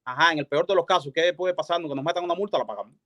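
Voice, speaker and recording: A Cuban man with a slightly high voice talks very quickly in an impassioned political style. He is insistent and emphatic, repeating his points and checking that listeners follow. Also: fairly bright tone. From Cuba. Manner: insistent, repetitive, emphatic, peppered with check-in questions. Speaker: activist guest calling in to a live show. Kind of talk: impassioned political talk on a live stream.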